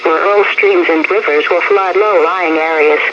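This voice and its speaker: A synthetic female voice with an American accent reads scripted emergency weather warnings in a formal, measured way as a radio announcer. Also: lowish voice, fairly even intonation. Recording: dull, noisy weather radio broadcast. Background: radio noise.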